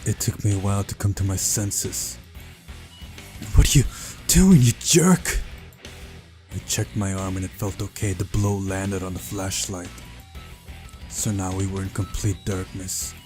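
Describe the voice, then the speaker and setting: A man's voice: low voice, completely flat delivery, normal speed, over music. A man reading a story aloud as dramatic narration, as in a visual novel playthrough.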